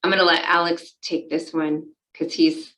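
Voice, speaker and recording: A woman's voice: low voice, flat delivery, moderate pace, a bit bright, rough recording. A woman speaking informally and candidly as a city official chairing a conference call.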